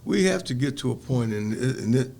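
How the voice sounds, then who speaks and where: A man's voice: medium pitch, lively intonation, normal speed, gravelly, dark tone, slight background noise. An older man, speaking earnestly and reflectively as a podcast interview guest. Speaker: guest, community organizer.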